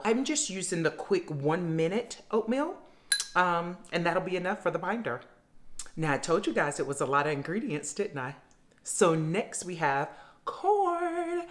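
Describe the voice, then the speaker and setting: A middle-aged woman chatting casually to her viewers while demonstrating a recipe in her home kitchen. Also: lowish voice. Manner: chatty, addresses viewers as fam. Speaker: cooking vlogger. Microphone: camera facing her at counter.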